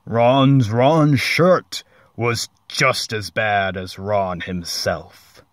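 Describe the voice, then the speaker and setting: Man, lowish voice, slow deliberate comic narration, mock-serious, dramatic pauses, dry sound. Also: no echo. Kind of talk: comic dramatic story reading.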